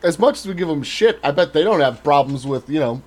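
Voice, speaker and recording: A man's voice: fairly high pitch, lively intonation, fairly quick, darkish tone. A man hosting a casual podcast chat, joking sarcastically and swearing freely. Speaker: podcast host.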